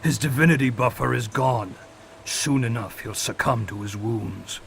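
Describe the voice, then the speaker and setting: A man playing a slow-speaking, grave underworld god in an audio drama. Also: medium pitch, vocal fry, a bit bright, a little hiss or hum. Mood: grave, calm.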